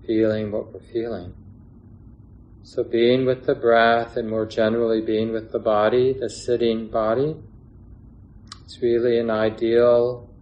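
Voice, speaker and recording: A man teaching a guided meditation in a gentle, unhurried way, pausing between short phrases. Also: medium pitch, poor audio. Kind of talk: guided meditation instruction, unscripted.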